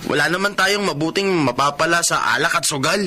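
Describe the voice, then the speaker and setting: A man's voice: on the high side, a little fast, over loud music, lightly acted. A man acting as a reformed, earnest young son in a Tagalog radio drama.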